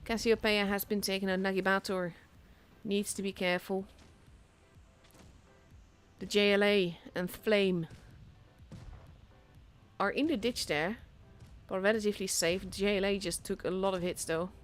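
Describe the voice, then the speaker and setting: A young Dutch woman, a native Dutch speaker, casting a tank game solo in a chatty, self-deprecating way that grows excited at big plays. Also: mid-range voice, a little slow, long pauses, faint music behind. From Netherlands. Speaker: esports caster.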